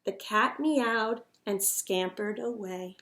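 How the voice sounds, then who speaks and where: A woman reading a picture book aloud to children in an expressive, dramatic storyteller's manner. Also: medium pitch, slow pace.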